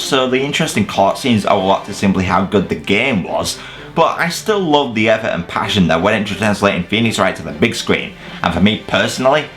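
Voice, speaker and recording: A man's voice: fairly low pitch, a bit bright. A man giving a casual, opinionated scripted video review, emphatic and sweary with self-deprecating humour. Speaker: video reviewer presenting a top ten list.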